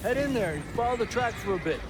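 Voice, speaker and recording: A high-voiced, brisk young outlaw. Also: male voice, animated, noisy background. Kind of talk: video game acting.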